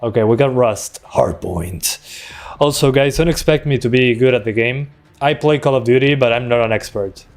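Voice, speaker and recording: A man's voice: mid-range voice, normal speed. Easygoing man streaming a shooter. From Uruguay. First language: Spanish. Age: 20s. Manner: relaxed, chatty, answers chat between fights. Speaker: tech streamer. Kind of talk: casual live-stream commentary while gaming. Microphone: desktop USB microphone. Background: faint game gunfire.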